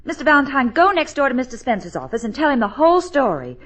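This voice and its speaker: An actress playing a loyal, worried assistant, earnest, polite and soothing, in 1940s radio comedy-drama style. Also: female voice, high-pitched, sing-song, fast talker, a bit bright, exaggerated voice. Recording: muffled vintage radio recording.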